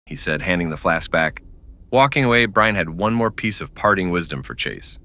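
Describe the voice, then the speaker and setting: Deep, animated male western narrator. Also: normal speed, dry recording. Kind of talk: scripted dramatic storytelling narration of a western.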